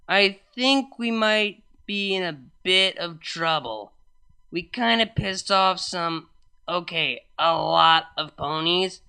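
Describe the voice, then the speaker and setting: Narrator doing a drunk girl party pony, loud n slangy, kinda slurred, bright voice. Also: mid-range voice, creaky, clean tone, full character voice. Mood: sheepish, worried. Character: brash drunken female party pony, rowdy and foul-mouthed.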